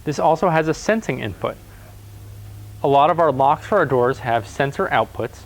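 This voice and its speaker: A man's voice: mid-range voice, animated, moderate pace, gravelly. A man with a mid-range voice walks through how a piece of equipment works. He speaks as a product presenter, explaining features step by step in a matter-of-fact, instructional manner. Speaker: product presenter for a door-access installer.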